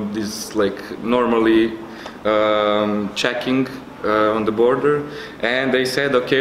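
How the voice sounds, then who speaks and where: A man shares a casual story in a halting way. His voice is medium in pitch, with room echo and faint noise behind it. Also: fairly bright tone. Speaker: youth network member. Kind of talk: casual anecdote.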